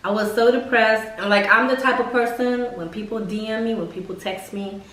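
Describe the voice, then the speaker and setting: A young woman casually telling personal stories to camera in a blunt, expressive, slangy way with plenty of swearing. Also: fairly high pitch, brisk, a little hiss or hum. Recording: echoey room, mic at a distance.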